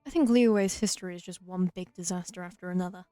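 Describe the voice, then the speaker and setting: A woman's voice: lowish voice, fast talker, warm tone, smooth, no room sound. A woman doing casual let's play commentary with dry, wry asides. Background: game music.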